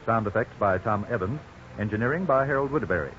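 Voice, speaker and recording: A man's voice: on the low side, animated, quick pace, a bit bright. Muffled vintage male radio announcer. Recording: muffled, hissy 1950s radio recording.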